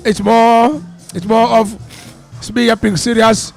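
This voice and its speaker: A South African man with a gravelly voice, an actor chatting as an interview guest, laughing and repeating words as he thinks. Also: very high-pitched, flat delivery, slow pace, soft background music. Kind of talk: casual interview chat.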